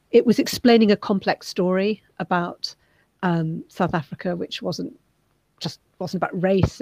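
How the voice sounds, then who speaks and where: Low-voiced, thoughtful woman journalist. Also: gravelly, a little mellow, no room sound, poor audio. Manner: thoughtful, measured, many "um"s. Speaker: documentary filmmaker and journalist. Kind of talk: interview answer.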